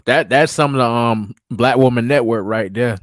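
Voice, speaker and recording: A man with a slightly raspy voice joining a casual livestream chat, making quick mocking remarks. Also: medium pitch, dry recording, clean studio sound. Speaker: stream guest.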